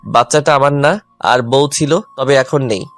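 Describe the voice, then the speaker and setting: A man's voice: mid-range voice, moderate pace, fairly bright tone, natural voice. A man playing a hurt, angry, stubborn young husband in a dramatised audio love story. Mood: cold, hurt. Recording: music under the voice.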